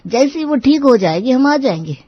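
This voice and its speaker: A woman's voice: high voice, very animated, normal speed, slightly rough, no room sound, muffled recording, voice pushed up, full character voice. Woman doing a humble old male caretaker. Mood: apologetic. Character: humble, apologetic older male farmhouse caretaker. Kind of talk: cartoon story voice acting.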